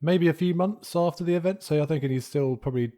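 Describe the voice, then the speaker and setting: A man's voice: on the high side, fast talker, dark tone. A man playing a tabletop roleplaying game, casually improvising his character's backstory.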